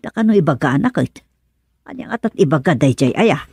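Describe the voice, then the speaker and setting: A woman's voice: sits low, lively intonation, brisk, gravelly, over loud music. A woman playing a chatty, persuasive village wife in an Ilocano radio comedy drama, with an Ilocano accent. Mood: musing. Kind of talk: radio serial drama acting.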